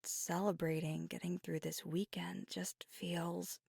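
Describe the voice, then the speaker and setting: Woman playing fiery young werewolf girlfriend, husky and breathy, slow halting confidences, guarded yet tender, worn out, intimate ASMR roleplay. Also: lowish voice, flat delivery, darkish tone, dry recording, clean studio sound, exaggerated voice. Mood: self-deprecating, deflecting.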